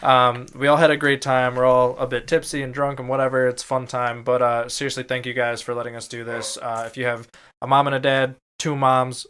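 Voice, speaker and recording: A man's voice: medium pitch, little pitch movement, fairly quick, fairly bright tone, clear, resonant tone. Blunt, sweary man co-hosting a podcast. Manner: blunt, profane. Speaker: podcast co-host. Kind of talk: casual chat.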